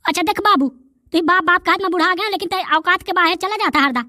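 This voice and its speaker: A man's voice: extremely high pitch, fast talker, pushed and bright, no room sound, clean studio sound, full character voice. A man voicing a brash young village tough in a cartoon comedy, scolding and taunting in rustic Bundelkhandi speech. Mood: scolding, threatening.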